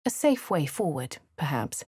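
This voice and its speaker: A woman with a posh English accent voicing a refined young adventurer, crisp and composed in game voice lines. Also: low voice, big pitch swings, normal speed, mellow, no room sound, studio quality, exaggerated voice. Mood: narrating. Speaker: voice of a player character. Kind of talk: game voice lines, first-person narration.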